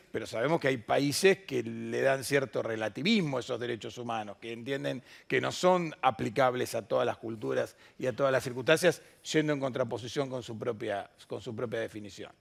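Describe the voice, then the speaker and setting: A man's voice: medium pitch, creaky. A man, moderating a conference panel and speaking off the cuff. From Latin America. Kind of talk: unscripted conference panel discussion.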